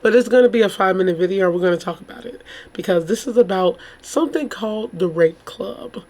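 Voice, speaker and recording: Female vlogger, slightly hoarse, casual and rambling, exclamatory commentary in an informal chatty style. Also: mid-range voice, fast, a little mellow. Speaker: YouTube true crime host.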